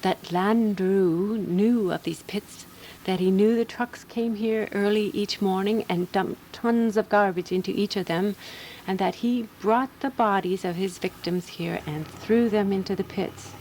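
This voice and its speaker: A practical woman detective played by an actress in a radio mystery. She speaks at a medium pitch, composed and deliberate, with quiet assurance as she lays out her case. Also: a little hiss or hum, lightly acted, rough recording. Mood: explaining, confident. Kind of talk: radio drama dialogue and first-person narration.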